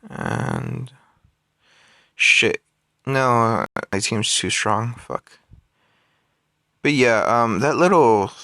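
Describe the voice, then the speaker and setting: A lower-voiced man with a bright, forceful edge talks through his gameplay, circling back with ums and restarts. The sound is close and dry, with no room around it, and picked up by a phone. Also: no echo. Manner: rambling, many fillers and restarts. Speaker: mobile game player. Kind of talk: casual gameplay commentary.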